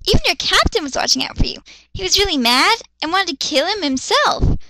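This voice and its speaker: A woman's voice: very high voice, lively intonation, fairly quick, noisy background, pitched above the performer's own voice. A woman voicing a tiny, hyper, chirpy little girl in an anime fan fiction reading.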